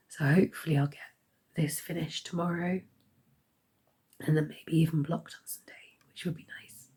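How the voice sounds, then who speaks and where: Hoarse, croaky woman half-whispering. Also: low-pitched, a little mellow. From United Kingdom. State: hoarse, losing her voice. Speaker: crochet vlogger. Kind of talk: casual daily update.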